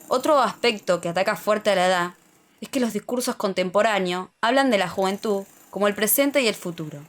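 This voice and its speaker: Argentine woman reading an opinion essay. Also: medium pitch. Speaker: podcast speaker. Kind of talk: scripted opinion essay read aloud.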